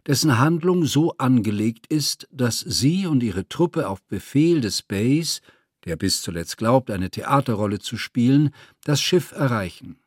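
A man with a husky voice reading scripted literary prose as a narrator. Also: mid-range voice, dry recording, clean studio sound.

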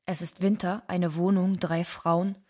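A woman playing a stoic, bitter undocumented immigrant from Ecuador, speaking in deliberate, restrained radio drama monologue. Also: fairly low pitch, level tone, dark tone. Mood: stoic, sad.

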